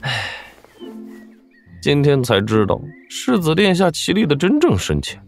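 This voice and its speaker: An actor playing an obese, fawning general with a folksy, self-deprecating manner and a husky voice in a Chinese wuxia audio drama. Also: male voice, fairly high pitch, wildly swooping pitch, measured pace, soft background music, heavily acted. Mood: rueful. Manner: obsequious, chuckling, sighing, folksy.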